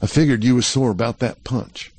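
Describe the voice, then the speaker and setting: A man's voice: medium pitch, steady tone, brisk, a little mellow, husky, voice pushed up, natural voice. A man reading an audiobook, voicing a guarded young ranch hand who talks in short, blunt rural phrases. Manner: blunt, clipped rural talk.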